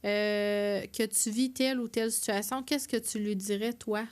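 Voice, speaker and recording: A woman with a Quebec French accent chats as a podcast guest. Her pitch is average for a woman, and she explains things informally and a little hesitantly, leaning on everyday examples to give advice. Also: steady tone, moderate pace, clean tone. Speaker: podcast guest, community support worker. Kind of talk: casual explanatory chat.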